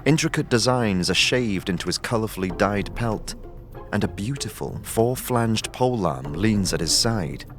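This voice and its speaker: A man's voice: on the low side, expressive, unhurried. A man reading scripted dramatic narration for an audio story. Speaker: narrator and game master. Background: clear music.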